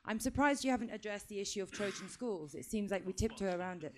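A woman's voice: medium pitch, expressive, quick pace. A woman in her thirties from Britain asking an indignant, pressing question from the audience on a handheld microphone. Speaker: audience member. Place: conference room, audience seating.

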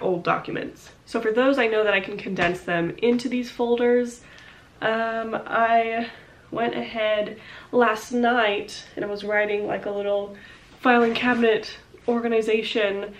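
Young woman vlogger, stuffed-up and slightly breathless, casual rambling chat with lots of fillers, home office at a desk. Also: mid-range voice. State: congested, short of breath. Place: small home office, indoors.

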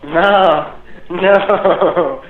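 Male store employee on a phone, fairly high voice, casual slangy talk, thin narrow sound. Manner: slangy, easygoing, halting. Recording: tinny, echoey phone line.

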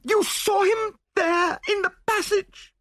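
A man's voice: very high voice, steady tone, slow pace, a bit bright, no echo, studio quality, pitched above the performer's own voice, full character voice. A man voicing a terrified, hysterical married woman in an audiobook, gasping and melodramatic.

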